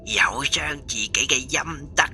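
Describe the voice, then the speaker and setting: A man's voice: mid-range voice, measured pace, sharp tone, faint music behind. A man playing a sanctimonious forum poster pleading mercy for ghosts, pious and chanting, with a Cantonese accent.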